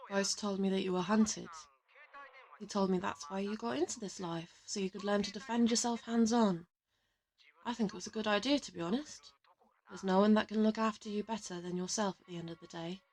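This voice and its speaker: A woman's voice: mid-range voice, steady tone, a little fast, darkish tone, close to an everyday voice. A woman playing a teasing, worldly older assassin who chats and gives bossy advice in an audio roleplay. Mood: gentle, advising. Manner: chatty, advising, bossy.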